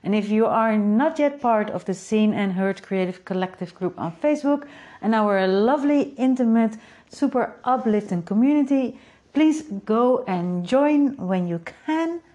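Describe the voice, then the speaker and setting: A woman's voice: a little high, animated, measured pace, warm tone. A woman with a Dutch accent, a native Dutch speaker, talking in a reflective way to her creative community and often asking rhetorical questions. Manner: thoughtful, asks rhetorical questions, adds "right?". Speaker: artist and community host. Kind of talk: reflective solo talk.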